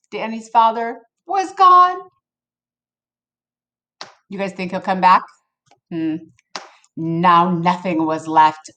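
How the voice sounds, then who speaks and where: Woman, mid-range pitch, slow storytelling pace, playful, expressive, children's read-aloud, chatty asides. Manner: expressive, playful, addresses listeners directly.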